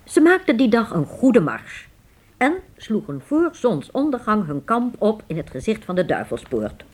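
A woman's voice: medium pitch, big pitch swings, moderate pace. A woman reading scripted narration as the storyteller of a radio drama.

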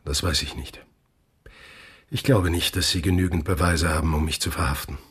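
An actor playing a troubled, remorseful middle-aged father in a radio drama, speaking in a gravelly, breathy hush. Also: very deep voice, steady tone, normal speed, heavily acted. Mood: subdued reassurance.

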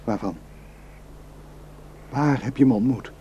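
A man's voice: medium pitch, slow, warm tone, muffled recording, slight background noise, natural voice. An actor in a radio drama playing a protective, suspicious, hot-tempered village herdsman and husband, scornful and impulsive. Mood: suspicious, probing.

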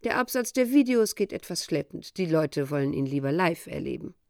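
An actress playing a composed narrator in a radio drama, telling the story with faint irony. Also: female voice, low voice, expressive, a little fast, a little mellow, no room sound, studio quality. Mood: detached, dry. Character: composed female narrator chronicling a prophet's rise.

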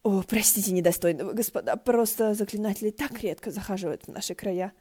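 Женщина озвучивает боязливого, суетливого трактирщика, который говорит заискивающе и тревожно. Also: female voice, mid-range voice, fast talker, mellow, husky, natural voice. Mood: apologetic. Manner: deferential, anxious. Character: fussy, timid, apologetic male innkeeper.

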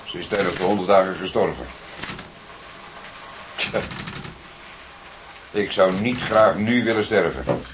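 An actor with a Dutch accent playing a seasoned, fatherly police detective who speaks deliberately and ponderously in a radio play. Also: deep voice. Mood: brooding, reflective. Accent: Dutch, from the Netherlands. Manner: deliberate, repeats others' words questioningly. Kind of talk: radio drama acting. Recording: noisy radio play with clicks.

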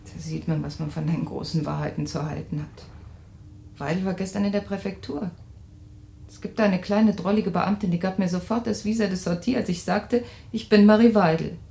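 An actress playing an anxious, tender refugee woman in an intimate radio play, with wistful, searching phrasing and a creaky voice. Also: lowish voice, fairly even intonation, normal speed, dark tone. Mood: quietly hopeful. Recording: roomy sound with faint ambient noise.

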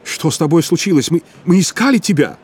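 A man's voice: medium pitch, animated, brisk, a little mellow, a little hiss or hum, lightly acted. A man voicing a composed, pragmatic male boss on the phone in a dramatized audiobook. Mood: startled, urgent.

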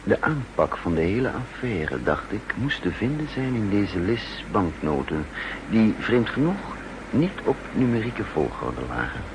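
An actor playing a brooding undercover double agent who narrates his story in measured, introspective Netherlands Dutch, in a 1960s radio drama. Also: fairly low pitch, very animated. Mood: uneasy, pensive. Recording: hissy 1960s radio recording.

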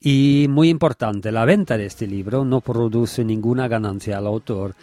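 Guy doing a radio presenter sign-off n plug, middle pitch, really dry sound. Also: moderate pace, warm tone, no room sound.

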